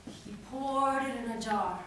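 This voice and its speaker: A woman's voice: a little high, lively intonation, a bit bright. Dramatic young woman performing in an echoey hall. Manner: theatrical, intense, deliberate pauses. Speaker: stage performer. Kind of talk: dramatic monologue recited on stage. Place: indoor stage performance in a theatre or hall. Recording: echoey hall, distant mic, faint noise.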